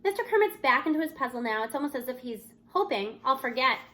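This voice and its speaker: A woman's voice: sits high, expressive, fast, sharp tone. A woman reading a children's novel aloud expressively, narrating as a student in the first person. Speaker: audiobook-style reader.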